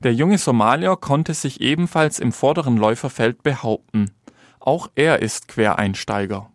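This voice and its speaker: A man's voice: mid-range voice, moderate pace, no room sound, clean studio sound. A man reading scripted narration as the reporter of a radio feature.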